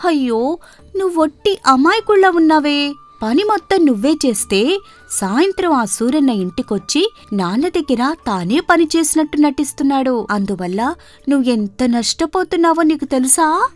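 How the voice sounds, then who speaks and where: A woman voicing a sharp, caring young daughter in an animated moral story, inquisitive and concerned. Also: sits high, normal speed, soft background music, voice pushed up. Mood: pitying, indignant.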